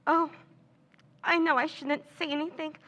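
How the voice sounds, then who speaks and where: A woman's voice: high-pitched, flat delivery, moderate pace, fairly bright tone. An actress playing a scheming woman who feigns nervous distress in an old-time radio drama, stammering and hesitating. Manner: stammering, hesitant, breathy pleading. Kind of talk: 1940s radio acting.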